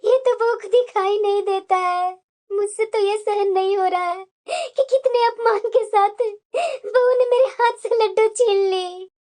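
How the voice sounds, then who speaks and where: A woman's voice: very high-pitched, steady tone, quick pace, hardly any pauses, mellow. A woman playing a meek, long-suffering elderly mother-in-law in a family drama, plaintive and self-pitying. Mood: tearful, humiliated. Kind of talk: Hindi family drama story dialogue.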